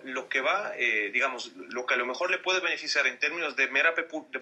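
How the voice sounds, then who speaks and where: Man from Italy, very bright forceful voice, medium pitch, political interview guest, halting and careful, online call. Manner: halting, careful word-searching with repetitions. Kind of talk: radio interview, political analysis. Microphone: Skype call.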